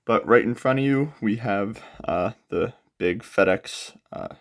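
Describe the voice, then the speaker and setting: A young man hosting a casual unboxing of model aircraft, sounding a bit nasal from a cold and talking in a relaxed way with frequent filler pauses. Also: on the low side. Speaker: aviation model channel host. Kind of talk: casual product unboxing walkthrough.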